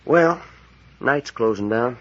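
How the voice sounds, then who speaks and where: An actor playing a brash, skeptical adventurer sidekick with a blunt, folksy manner in a 1940s radio serial. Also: male voice, mid-range voice, lively intonation, slow pace, fairly bright tone, a little hiss or hum. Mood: casual. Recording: muffled vintage 1940s radio recording.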